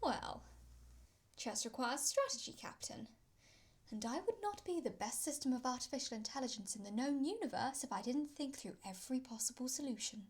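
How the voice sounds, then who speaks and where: High-pitched, polite female ship AI played by an actress. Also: animated, breathy edge. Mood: polite, teasing. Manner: formal, measured, precise wording. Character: polite, teasing female ship artificial intelligence. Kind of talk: scripted audio drama acting.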